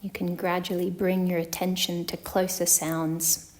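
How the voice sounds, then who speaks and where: A woman with a slightly low voice guides a yoga nidra practice. Her delivery stays flat and steady, giving calm, measured instructions. She leaves long pauses between phrases, as a meditation teacher does.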